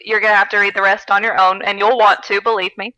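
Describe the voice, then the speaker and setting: A woman's voice: medium pitch, little pitch movement, fast talker, pushed and bright, no room sound, lo-fi sound. A woman co-hosting a podcast, giggly and wry as she chats and reads aloud for comedy. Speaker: podcast co-host. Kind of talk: comedic read-aloud of fan fiction with casual chat.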